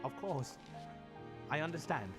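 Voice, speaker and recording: A shy, stammering young musician. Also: male voice, on the high side, animated, unhurried, loud music behind the voice.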